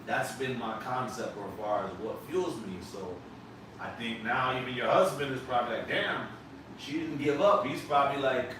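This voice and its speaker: A man's voice: mid-range voice, moderate pace. A man hosting a live podcast, talking emphatically and animatedly to his guest and casually dropping swear words. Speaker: podcast host. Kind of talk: casual interview chat. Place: live podcast set on a couch. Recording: echoey room, distant mic, background noise.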